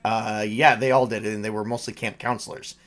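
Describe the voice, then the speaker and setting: A man's voice: on the low side, flat delivery, brisk, a bit bright. A man running a tabletop roleplaying game as game master, narrating casually and conversationally.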